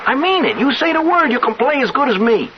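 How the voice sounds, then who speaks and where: A man's voice: very high-pitched, sing-song, fast talker. An actor playing an earnest, insistent young pool shark in his twenties, talking urgent street talk in old-time radio drama style. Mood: urgent, intense. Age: 20s. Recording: dull, hissy old radio recording.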